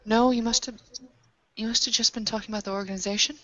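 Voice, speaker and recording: A woman's voice: fairly high pitch, steady tone, fast talker, no echo, lo-fi sound. A woman talking casually in a role-playing game, questioning and working things out aloud. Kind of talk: casual improvised game talk.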